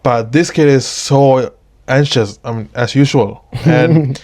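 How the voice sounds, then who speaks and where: A man from Sri Lanka hosting a casual interview, nodding along with quick agreeing fillers and telling stories in a room at his workplace. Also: mid-range voice, darkish tone. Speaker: podcast host. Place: room at a dental service workplace.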